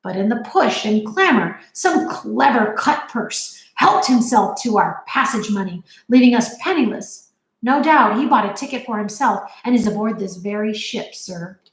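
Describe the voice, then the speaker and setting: A woman's voice: a little high, lively intonation, moderate pace. A woman voicing an unflappable butler who speaks politely and formally. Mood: composed. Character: unflappable, courteous gentleman's butler. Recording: roomy echo.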